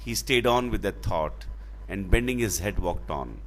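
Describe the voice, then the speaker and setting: A man telling a children's fable in a dramatic, expressive way, with deliberate phrasing. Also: low-pitched, slight background noise, rough recording. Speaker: storyteller.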